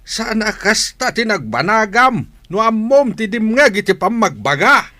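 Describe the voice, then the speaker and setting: A man's voice: high-pitched, very animated, measured pace, sharp tone, exaggerated voice. A man playing a stern old grandfather, admonishing in an audio drama. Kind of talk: Philippine audio drama acting.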